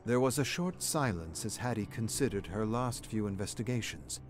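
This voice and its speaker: A man's voice: lowish voice, lively intonation, moderate pace. A man reading game lore as scripted dramatic story narration. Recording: music playing behind the voice.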